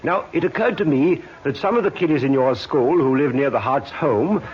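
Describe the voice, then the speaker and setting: A man acting as a patient, avuncular police detective in a vintage radio play. His fairly high voice swings expressively as he coaxes and probes, on a dull, lo-fi recording. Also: animated, normal speed, lightly acted. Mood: kindly, probing. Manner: avuncular, patient, gently probing. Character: kindly, coaxing Scotland Yard inspector questioning children. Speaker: police inspector. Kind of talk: old time radio acting. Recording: muffled old radio recording.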